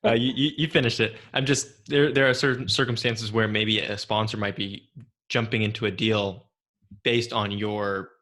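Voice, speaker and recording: Low, bright-voiced man interviewing. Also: fairly even intonation, normal speed. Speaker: interviewer, podcast host. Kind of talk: conversational business interview.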